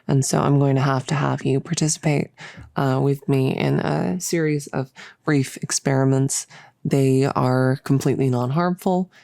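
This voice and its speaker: A performer playing an earnest, rambling cultist experimenter in a roleplay audio, with casual, halting, awkwardly optimistic delivery, close to the mic. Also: medium pitch, steady tone, creaky, dark tone, no echo, natural voice. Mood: earnest, hopeful. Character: earnest, rambling, chirpy cultist scientist, gently bossy. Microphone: close, dry studio-style microphone.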